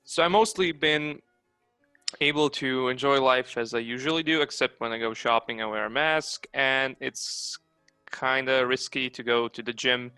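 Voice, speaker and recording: Male guest for a casual podcast chat; clean, smooth voice, recorded close and dry. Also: mid-range voice, fairly even intonation, moderate pace, pushed and bright, no room sound, faint music behind. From Croatia. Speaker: podcast guest, comic artist.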